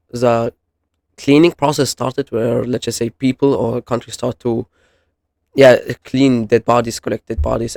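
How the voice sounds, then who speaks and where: Man with creaky voice rambling through casual commentary. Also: mid-range voice, a little mellow, dry recording. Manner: halting, rambling, self-correcting. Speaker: YouTube host reviewing horror videos. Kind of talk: casual unscripted explainer commentary.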